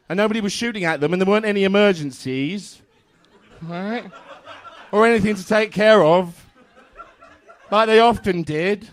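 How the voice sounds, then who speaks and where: A man's voice: high-pitched, fairly bright tone. A man giving a comedic reading of fan fiction, telling it in a rambling, naive way with conversational asides. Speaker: comedic reader on a stage show.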